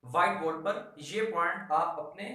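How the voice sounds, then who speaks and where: A man's voice: a little high, moderate pace, sharp tone. A man with a Pakistani accent giving an instructional law lecture, emphatic, repeating key instructions and mixing in English terms. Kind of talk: instructional lecture for exam students. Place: echoey room with a whiteboard. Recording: very echoey room, distant mic.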